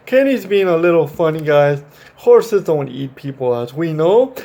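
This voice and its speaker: A man hosting a children's story read-aloud, chatting to young viewers in a halting way and often correcting himself. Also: on the high side, sing-song, mellow. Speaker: storytime video host reading a children's book.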